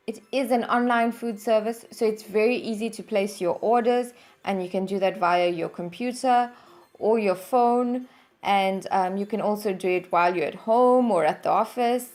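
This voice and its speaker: A woman hosting a channel, talking through a product review in a steady explanatory way with some fillers. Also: on the high side. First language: English. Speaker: channel host reviewing a service. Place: home in Norway.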